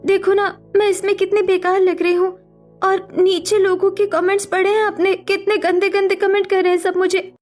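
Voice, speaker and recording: A woman's voice: very high voice, level tone, normal speed, a little mellow. A woman voicing a meek, wronged young daughter who pleads plaintively in a melodramatic cartoon story. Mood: upset. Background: music.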